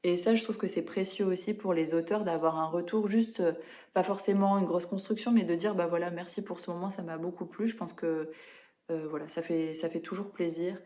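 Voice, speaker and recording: Young woman book vlogger rambling about novels, lowish mellow voice, lots of euh and restarts. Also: fairly even intonation, a little fast. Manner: chatty, many fillers and self-corrections. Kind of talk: casual book review chat.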